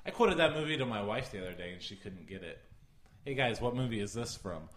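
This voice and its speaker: A man's voice: on the low side, expressive, fast talker, fairly bright tone. A man with a nasal voice chatting as a podcast guest, rambling and laughing. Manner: rambling, quotes movies, laughs. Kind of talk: casual chat.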